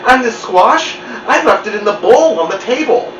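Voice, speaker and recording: An anxious storybook mother , acted out by a man frets and exclaims in alarm. The pitch sits high and jumps around. It sounds distant and muffled in a roomy space. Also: expressive, normal speed, a bit bright, a little hiss or hum. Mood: worried. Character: anxious housewife mother, fretting over a kitchen mystery. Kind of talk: children's storybook read-aloud.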